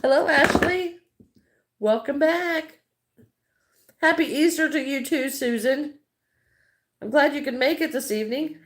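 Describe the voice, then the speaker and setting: A woman's voice: high voice, unhurried, pauses often. A middle-aged Midwestern American woman chatting casually on a crochet livestream, greeting viewers by name and thinking aloud as she works.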